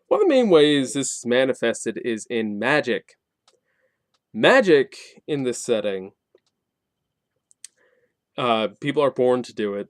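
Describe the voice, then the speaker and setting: A man's voice: medium pitch, no echo, clean studio sound. A man giving a casual spoken review and explaining a game setting, colloquial and wry with sarcastic asides. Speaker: tabletop game reviewer and host.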